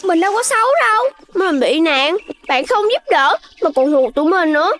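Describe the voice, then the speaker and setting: An excitable little cartoon animal kid. The voice is extremely high and bright, with childlike, exclamatory delivery. It sounds playful and boastful, made for a children's animation. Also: fairly quick. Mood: animated.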